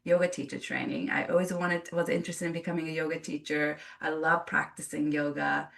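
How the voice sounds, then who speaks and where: A woman's voice: low-pitched, level tone, brisk, gravelly, fairly bright tone, far from the mic. A woman who grew up in Japan, a yoga teacher telling her story in an interview, earnest and reflective with frequent restarts.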